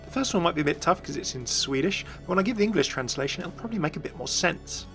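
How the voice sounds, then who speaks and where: A man's voice: a little high, expressive, fast, fairly bright tone. A man presenting scripted fan commentary as a voice-over, explaining things and addressing viewers directly. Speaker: video presenter and game commentator. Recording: voice-over with faint music behind.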